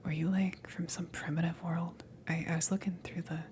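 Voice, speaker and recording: A woman playing a casual, blunt alien salvage worker in an ASMR roleplay, speaking in a soft, breathy whisper with a halting, teasing delivery. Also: on the low side, little pitch movement, natural voice. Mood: curious, hesitant. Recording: noticeable background noise, ambience. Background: ambient noise.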